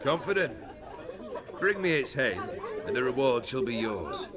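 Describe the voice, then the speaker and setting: Over a busy crowd, a jovial, domineering noble lord in a fantasy game. His voice swings widely in pitch, with flamboyant, old-fashioned turns of phrase. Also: sing-song, a little slow. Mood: businesslike. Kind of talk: theatrical video game acting. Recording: busy crowd noise behind.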